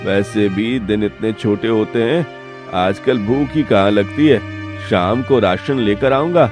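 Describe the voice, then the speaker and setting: A man's voice: fairly low pitch, a little slow, lightly acted. Man acting a humble, weary village labourer, music behind. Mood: reassuring, tender. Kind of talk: cartoon story dialogue.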